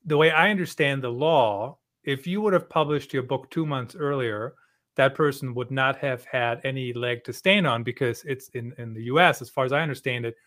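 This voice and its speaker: A man's voice: medium pitch, moderate pace, fairly bright tone. A man hosting a podcast, talking casually and checking in with "right?". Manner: conversational, asks with "right?". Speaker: podcast host. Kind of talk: casual interview.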